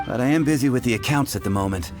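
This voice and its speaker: A man's voice: mid-range voice, rapid-fire, natural voice. A man playing a busy, affable tavern keeper in a fantasy video game, with a courteous, chatty way of talking. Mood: affable, thoughtful. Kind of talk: scripted video game acting. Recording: game music behind the voice. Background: music.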